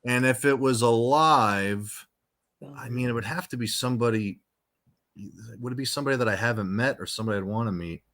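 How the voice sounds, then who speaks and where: A man with a very deep voice, a rock singer guesting on a podcast, talking in a relaxed, rambling way with many restarts. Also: fairly quick. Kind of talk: casual chat.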